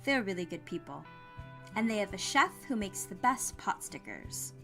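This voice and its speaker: A woman's voice: medium pitch, big pitch swings, normal speed, creaky, a bit bright, soft background music. A woman voicing a perky, kind young female postal worker with an upbeat, encouraging manner in an audio story. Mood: cheerful, eager. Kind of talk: dramatized audiobook character dialogue.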